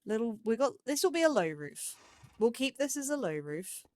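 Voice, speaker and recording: A woman's voice: a little high, sing-song, moderate pace, a little mellow, dry recording. A woman giving casual let's play commentary, musing aloud and questioning herself as she goes. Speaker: host of a gaming let's play series.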